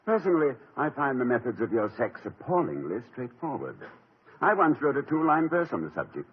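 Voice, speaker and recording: An actor playing a polished, gently ironic middle-aged college president in a 1950 radio drama. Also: male voice, medium pitch, very animated, a little fast, breathy edge. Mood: wry. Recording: dull lo-fi 1950 radio broadcast.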